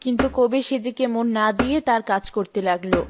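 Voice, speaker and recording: A woman's voice: on the high side, fairly quick. Woman narrating a story, music behind.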